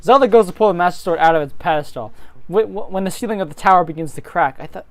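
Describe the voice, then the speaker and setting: A woman's voice: lowish voice, fast. A woman narrating a fantasy story informally, as an amateur reader would, over faint music. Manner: informal, breaking into giggly asides.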